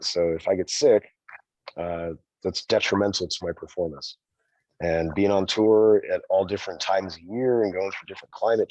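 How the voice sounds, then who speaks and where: A man, a rock band singer, talking in a relaxed, rambling way in an interview, tossing in "man" and "dude". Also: low voice, no echo. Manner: easygoing, rambling, calls the host "man" and "dude". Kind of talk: casual interview talk. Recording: dull, dry sound.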